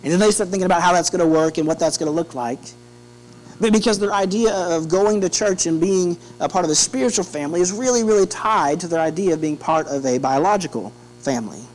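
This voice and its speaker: A man's voice: high-pitched, fast talker, rough recording. A man in his thirties preaching a sermon in an earnest, conversational way, often restarting his phrases. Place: church sanctuary, indoors at a pulpit. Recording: steady electrical hum behind the voice.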